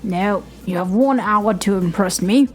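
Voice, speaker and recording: A man's voice: measured pace, faint music behind. A young man voicing an impatient, dismissive middle-aged studio boss in a homemade audio story. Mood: annoyed. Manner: bossy, dismissive, lowered voice.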